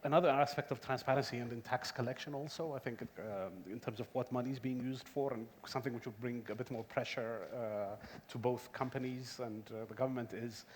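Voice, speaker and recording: A man's voice: mid-range voice, fairly even intonation, brisk, few pauses. A man on a discussion panel, speaking hesitantly and searching for his phrasing. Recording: reverberant hall, faint background noise.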